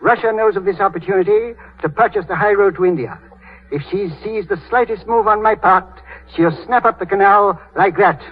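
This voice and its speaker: An actor playing a shrewd, courtly older British statesman with dry wit, husky and theatrical, in 1930s radio drama style. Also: sits high. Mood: earnest, wry. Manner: urbane, theatrical, wryly deliberate. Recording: muffled 1930s radio recording, faint music.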